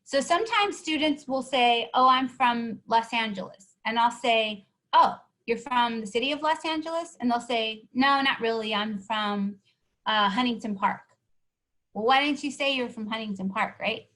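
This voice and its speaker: A woman with a fairly high voice runs a workshop for students. She explains things patiently and keeps checking in with her listeners. She sounds encouraging throughout. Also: normal speed, fairly bright tone. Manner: encouraging, keeps asking "right?". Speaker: workshop presenter. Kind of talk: teaching a live online workshop.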